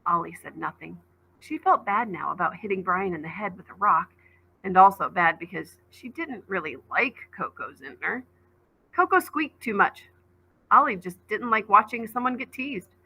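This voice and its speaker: A woman reads a children's novel aloud in an expressive teacherly way. Her voice is bright and forward, with a middle pitch, and she narrates the story with clear feeling. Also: normal speed, poor audio.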